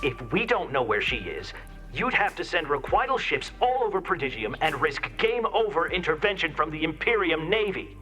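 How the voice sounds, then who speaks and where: An actor playing a forceful, accusatory male senator, exasperated and indignant, in a video game cutscene. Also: on the high side, big pitch swings, moderate pace, faint music behind. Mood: angry, scolding.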